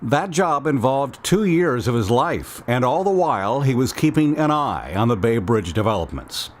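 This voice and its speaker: A man's voice: medium pitch, big pitch swings, moderate pace, a little hiss or hum. A man delivering scripted documentary narration as the narrator of an oral history piece.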